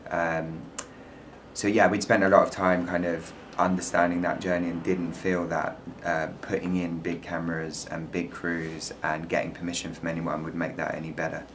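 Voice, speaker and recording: Low flat-voiced London man. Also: moderate pace, a little hiss or hum. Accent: London English. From England. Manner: relaxed, many fillers. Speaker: filmmaker guest. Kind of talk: panel interview.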